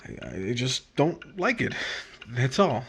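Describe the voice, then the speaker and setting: A man acting out an awkward, brooding lover in a vintage radio romance. His voice is husky and mid-pitched. He speaks earnestly but haltingly. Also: very animated, a bit bright, full character voice. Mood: irritated, brooding. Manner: hesitant, halting. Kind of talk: old-time radio romantic drama acting.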